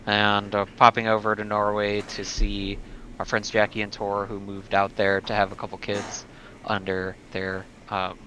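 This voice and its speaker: A man's voice: fairly low pitch, completely flat delivery, moderate pace, pushed and bright. A man chatting casually as a player on a gaming show, laid-back and understated. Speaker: player on a tabletop roleplaying show. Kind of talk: casual chat. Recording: dull lo-fi audio, faint noise.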